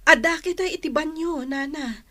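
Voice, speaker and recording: An anxious young villager in an Ilocano radio play. Her voice is high-pitched, with an Ilocano accent and an acted, worried delivery. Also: moderate pace, a bit bright. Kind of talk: Ilocano radio drama acting.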